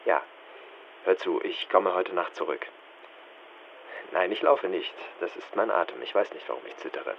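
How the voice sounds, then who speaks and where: Through dull sound with faint hiss, an agitated, evasive son on the telephone. His answers are clipped and insistent, and his pitch swings widely. It is one side of a radio drama call. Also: animated, normal speed, vocal fry, long pauses. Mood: shaken, evasive.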